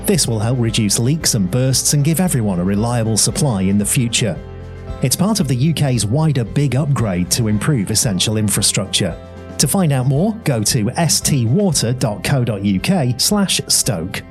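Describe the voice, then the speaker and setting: A man's voice: mid-range voice, animated, moderate pace, hardly any pauses, darkish tone, faint music behind. Man giving an apologetic radio notice. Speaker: advert voice-over. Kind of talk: scripted radio advert.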